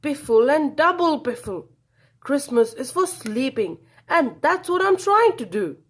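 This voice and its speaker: A woman's voice: sits high, sing-song, a little slow, pitched above the performer's own voice. A woman voicing a grumpy, scornful male badger for a children's storybook, blustering and emphatic. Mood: indignant. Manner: blustering, spluttering, emphatic exclamations.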